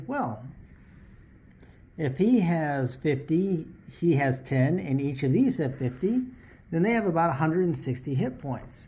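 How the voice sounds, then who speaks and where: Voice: mid-range voice, mellow. A presenter giving an instructional explainer, talking directly to the listener and posing rhetorical questions. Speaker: tabletop RPG lesson host.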